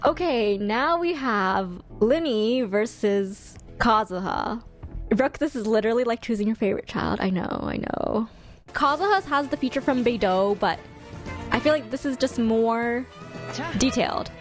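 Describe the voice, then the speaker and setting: Expressive high-voiced young-sounding woman streamer. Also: measured pace, faint music behind. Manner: expressive and chatty, giggly, with slangy exclamations. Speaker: streamer hosting a ranking video. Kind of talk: casual fan commentary to a live chat.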